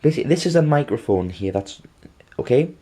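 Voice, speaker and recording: A man presenting an informal how-to demonstration, halting and rambling, often checking in with the listener. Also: mid-range voice, very animated, warm tone, a little hiss or hum. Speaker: video tutorial presenter.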